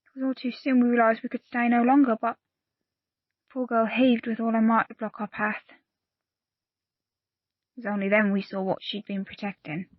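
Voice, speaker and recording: A woman's voice: fairly high pitch, level tone, normal speed, long pauses, no room sound, narrow, tinny audio, close to an everyday voice. A woman playing a loyal, plain-spoken pirate crew member in an audio play, telling her story earnestly in old seafaring dialect. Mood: grave, confiding. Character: loyal, plain-spoken pirate crewwoman confiding a grave secret.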